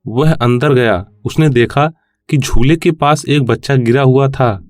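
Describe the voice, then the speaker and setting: A man's voice: mid-range voice, measured pace, no room sound. Male narrator for a children's moral tale, mid-range voice, light music underneath. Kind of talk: scripted children's story narration.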